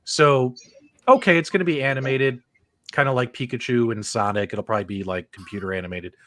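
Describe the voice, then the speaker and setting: A man's voice: medium pitch, brisk, a bit bright. A man hosting a gaming talk livestream, chatting casually with a panel and viewers.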